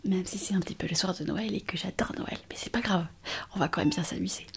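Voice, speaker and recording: A woman playing a kind, eager young hospital intern in an ASMR roleplay, speaking in a soft, breathy whisper with an attentive, hesitant manner. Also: lowish voice, fast, lightly acted. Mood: excited, chatty. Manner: attentive, hesitant, gently reassuring. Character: kind, eager, chatty young female hospital intern on her first night shift.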